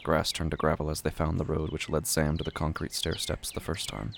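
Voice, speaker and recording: A man narrating an audio drama in a measured, deliberate, literary way with a gravelly voice. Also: a bass voice, monotone, slight background noise.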